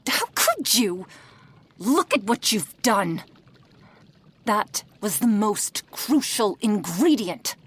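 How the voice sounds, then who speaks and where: A woman as a possessive kitsune witch, very slow. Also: low voice, animated, slight background noise, heavily acted. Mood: distraught, scolding. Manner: breathy whispering, formal archaic phrasing. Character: possessive kitsune witch mentor, doting then scolding. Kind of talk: roleplay ASMR acting.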